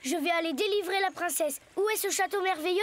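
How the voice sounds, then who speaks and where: A woman's voice: very high-pitched, quick pace, a little hiss or hum. A woman voicing a nine-year-old boy hero in a children's cartoon, grumbling but brave and plain-spoken. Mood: determined.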